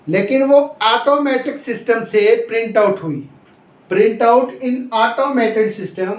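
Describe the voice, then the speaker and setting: Male law lecturer, Pakistani accent, unhurried and repetitive delivery, English legal terms mixed in, roomy echoing sound. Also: sits high. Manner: repetitive, explanatory, asks and answers own questions. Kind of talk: classroom lecture, explaining and dictating notes.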